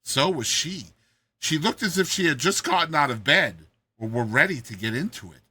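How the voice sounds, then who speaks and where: A man's voice: fairly high pitch, animated, moderate pace, pushed and bright, husky, dry recording. A man narrating a short story as a dramatized audiobook reading, with theatrical, emphatic delivery.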